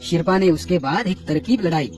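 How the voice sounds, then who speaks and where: A man's voice: high-pitched, normal speed, music in the background. A man narrating a scripted horror story for children.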